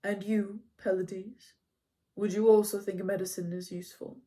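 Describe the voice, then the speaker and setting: Woman voicing Chiron, a calm stern centaur. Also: mid-range voice, normal speed, natural voice. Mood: measured. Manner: neutral, calm, factual. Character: calm stern ancient male centaur teacher.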